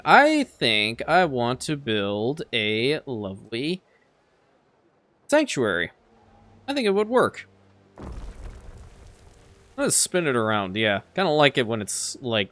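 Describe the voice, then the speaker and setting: A man whose first language is Farsi talks through a city-building game in a relaxed, chatty way. His voice is bright and forward, and it often stumbles into a repeated word before he carries on. The sound is close and dry, with no room echo. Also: medium pitch. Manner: chatty, repeats words as he restarts sentences. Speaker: let's play creator. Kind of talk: casual gameplay commentary.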